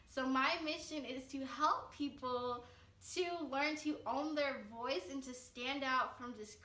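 Brief: twenties woman vlogger, earnest and upbeat, slightly high voice, roomy and off-mic. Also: bright, forceful tone, far from the mic. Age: 20s. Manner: upbeat, earnest, gestures with hands while talking. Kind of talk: casual vlog talk to camera. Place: living room, sitting on a couch.

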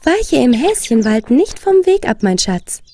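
A woman voicing a gentle, caring mother giving instructions in a fairy tale. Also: on the high side, very animated, unhurried, faint music behind.

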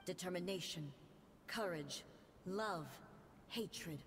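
A woman voicing a stern, resolute warrior deity in a video game, formal, grave and measured. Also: lowish voice, a little hiss or hum. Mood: imperious. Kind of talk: dramatic video game voice acting.

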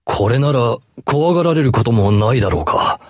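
A man's voice: mid-range voice, expressive, normal speed, a little mellow, no echo, studio quality, close to an everyday voice. An actor playing a grave, brooding yet tender scarred male hero in a mobile game. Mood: pensive. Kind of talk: Japanese mobile game character acting.

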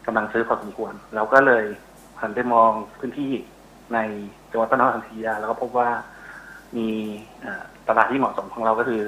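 A man's voice: fairly low pitch, steady tone, fairly quick, pushed and bright, a little hiss or hum, low-quality recording. A middle-aged man explaining as an expert guest in a phone interview, often searching for words. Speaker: interview guest, university researcher. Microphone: telephone call.

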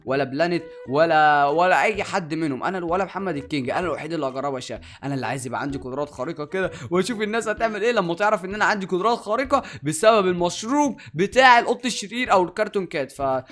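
A young man with an Egyptian Arabic accent hosting a gaming video in an animated way, addressing viewers and repeating phrases. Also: high-pitched, rapid-fire, soft background music. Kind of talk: excited gameplay commentary.

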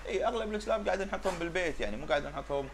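A man's voice: high-pitched, expressive, rapid-fire, over a noisy crowd. A man in his thirties with a Kuwaiti Arabic accent, talking conversationally as an interview guest and repeating words for emphasis. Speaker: dog breeder and importer, interview guest. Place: pet shop with glass animal enclosures. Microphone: lapel. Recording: faint background noise.

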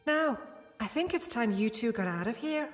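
A woman's voice: fairly high pitch, expressive, normal speed, natural voice. A woman playing a firm alien prison warden. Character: stern alien female prison librarian. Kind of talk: amateur audio drama. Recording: reverberant hall with music.

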